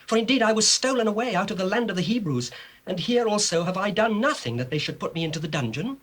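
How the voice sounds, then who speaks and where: An actor playing an upright, devout young Hebrew servant in a scripture drama, speaking in an earnest, measured, declamatory way. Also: male voice, high voice, lively intonation, a bit bright. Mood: solemn, pleading.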